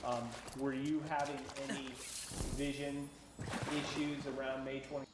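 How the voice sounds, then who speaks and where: A man's voice: medium pitch, monotone, unhurried. A man working as an attorney, methodically asking repetitive leading questions in a deposition. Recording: roomy, distant, noisy deposition recording.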